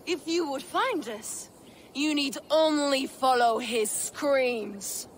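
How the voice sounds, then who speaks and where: A woman voicing a cold, taunting villain commander in a video game, measured, haughty and menacing. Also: high voice, a little hiss or hum. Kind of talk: dramatic video game acting.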